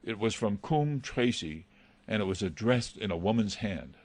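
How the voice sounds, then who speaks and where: A man's voice: on the low side, expressive, moderate pace, darkish tone. A man playing an anxious, loyal butler, hesitant, formal and respectful, in a dramatised reading of a classic novel. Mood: hesitant, confiding. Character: deferential, anxious male butler, pleading and loyal.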